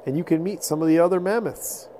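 Casting: male storyteller as young woolly mammoth for a bedtime story, high-pitched, eager, friendly and wide-eyed, noisy home-style recording. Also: quick pace, warm tone, natural voice. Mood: amazed, welcoming.

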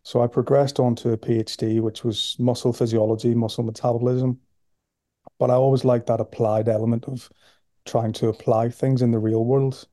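A man with a Northern Irish accent speaks in a very dark, mellow tone, keeping his pitch flat and even. His delivery is relaxed, with frequent pauses and repeated words. The recording is dry and close. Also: no room sound. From Belfast, Northern Ireland. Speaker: guest, sports nutrition professor. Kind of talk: podcast interview.

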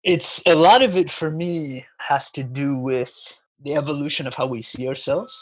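A man's voice: a little high, normal speed, dry recording, poor audio. A man from Chicago, a spiritual guide, talking as a podcast guest in an easygoing, thoughtful way. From Chicago, USA. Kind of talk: reflective interview talk.

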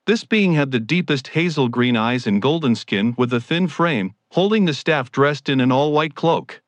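A man's voice: mid-range voice, animated, normal speed, dry recording. A man giving a scripted motivational monologue as a self-help speaker.

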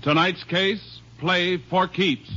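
A man's voice: sits high, steady tone, slow pace. A man announcing a 1950s radio drama in a formal, dramatic way. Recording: muffled old radio with loud music.

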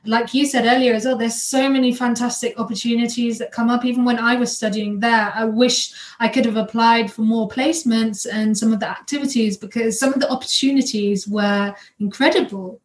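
A woman's voice: a little high, steady tone, a little fast, hardly any pauses. A woman hosting an interview, asking long, friendly, rambling questions. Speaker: podcast host. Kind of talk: casual interview. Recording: echoey room.

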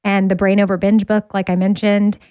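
A woman in her early forties talks openly and reflectively in a relaxed podcast chat. Her pitch sits mid-range for a woman. The recording is close and dry, with no room sound. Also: fairly quick, smooth, no echo. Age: 40s. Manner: candid, reflective, talks about her own recovery. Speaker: podcast host. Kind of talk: casual podcast conversation.

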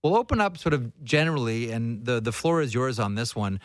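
A man's voice: mid-range voice, lively intonation, a little fast, dry recording. A man hosting a sports talk show, chatting and asking questions in a conversational, opinionated way. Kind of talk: casual hockey talk and interviewing.

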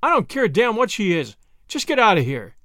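A man's voice: sits high, big pitch swings, brisk, dry recording, clean studio sound. A man voicing a hot-tempered, heartsick young Englishman in an audiobook, with a clipped, bitter, impassioned manner. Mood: angry. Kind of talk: audiobook character voice.

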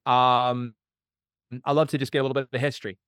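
A man's voice: medium pitch, little pitch movement, fast, fairly bright tone, smooth. This is a man hosting an interview podcast in a studio. He talks with eager enthusiasm and loose, chatty phrasing. The room is dry and close, with no echo at all. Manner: enthusiastic, conversational with many fillers. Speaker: podcast host, chiropractor.

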